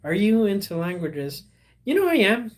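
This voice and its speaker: A man's voice: high voice, very animated. Rambling man chatting on a livestream. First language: Spanish. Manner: rambling, halting, many fillers and restarts. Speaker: livestream host. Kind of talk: casual livestream chat with viewers.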